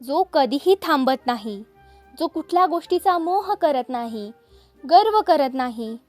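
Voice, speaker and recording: A woman storyteller narrates for children in a high voice. She takes her time and is expressive, addressing young listeners directly, with faint music behind her. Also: unhurried. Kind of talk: scripted fable narration for children.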